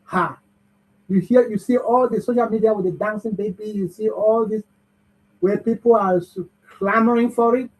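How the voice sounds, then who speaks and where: Upbeat high-voiced man hosting a webinar. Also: fairly even intonation, moderate pace. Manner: upbeat, cheerleading the audience. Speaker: bootcamp host. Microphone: video call. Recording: muffled video-call audio.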